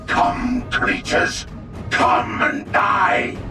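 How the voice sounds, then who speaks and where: A proud, ferocious wolf lord rallies warriors in grand video game style. His gravelly voice swings widely in pitch and echoes from a distance under very loud music. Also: lively intonation, extremely slow, heavily acted. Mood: battle fury. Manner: grandiose, battle-hungry, commanding declamation. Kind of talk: dramatic video game voice acting.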